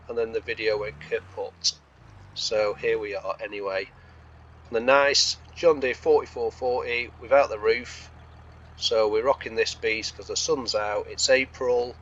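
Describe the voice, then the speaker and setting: Croaky man chatting over farming gameplay. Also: medium pitch, a bit bright, highs cut off. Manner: chatty, rambling, thinking aloud. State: slightly hoarse from being unwell. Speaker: host of a gaming series. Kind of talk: casual gameplay commentary.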